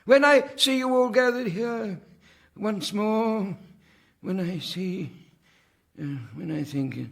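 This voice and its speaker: An actor playing a doddering, genial village squire who bumbles through a speech in a radio drama, halting and trailing off mid-sentence. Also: male voice, high-pitched, creaky, a bit bright, full character voice. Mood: muddled, genial.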